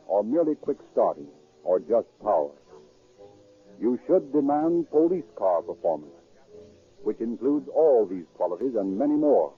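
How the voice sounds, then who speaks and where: A man announcing and narrating in scripted 1930s radio style, formal and declamatory. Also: medium pitch. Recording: tinny 1930s radio recording.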